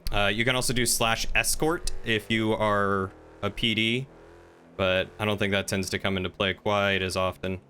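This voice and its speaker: A man's voice: on the low side, little pitch movement, moderate pace, sharp tone. A man chatting casually and helpfully while playing an online roleplay game, with an easygoing manner. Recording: faint background noise.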